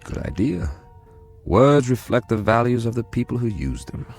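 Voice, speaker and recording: An actor playing a world-weary code breaker who narrates and talks with a dry, wry manner in a radio drama. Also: male voice, medium pitch, big pitch swings, normal speed, darkish tone, soft background music.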